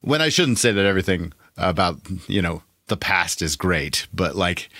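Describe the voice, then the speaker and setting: A man's voice: sits low, lively intonation, normal speed, sharp tone, dry recording, clean studio sound. A man co-hosting a podcast, joking and chatting casually. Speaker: podcast co-host. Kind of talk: casual chat.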